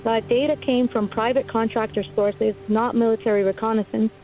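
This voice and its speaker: A woman's voice: on the high side, fairly even intonation, normal speed, darkish tone, lightly acted. A woman playing a military communications insider calling a radio show in a war drama, speaking in precise, formal briefing style. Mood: serious, precise. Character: serious female military communications insider turned whistleblower. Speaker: radio caller. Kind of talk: scripted testimony, audio drama. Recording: dull narrow-band call with music behind.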